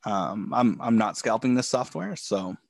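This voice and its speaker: A man coaching a live trading session from a home office, talking in a relaxed way with many fillers, a bit hoarse and often clearing his throat. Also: on the low side, unhurried, no room sound, clean studio sound. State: losing his voice.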